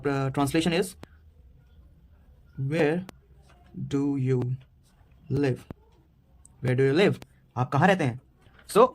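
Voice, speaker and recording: Man, 19, Indian accent, beginner language tutor; unhurried, stop-start delivery with lots of fillers. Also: fairly high pitch, a little mellow. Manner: slow, halting delivery with many fillers and repetitions. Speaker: German teacher. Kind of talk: beginner language lesson, mixing Hindi and English.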